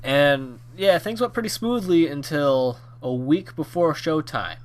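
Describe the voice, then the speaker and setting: A man of twenty telling a story from his own life in a rambling, casual way, slightly hoarse and nasal from a cold. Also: fairly high pitch, animated, unhurried. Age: 20s. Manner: rambling with many fillers and restarts. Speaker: YouTube storyteller.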